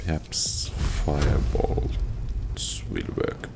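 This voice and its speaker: A man's voice: extremely low pitch, big pitch swings, drawn-out words, vocal fry, heavy background noise. A man casually talking through his play of a role-playing game, thinking aloud with dry understatement. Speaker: gameplay video host. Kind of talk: casual commentary over gameplay. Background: game music.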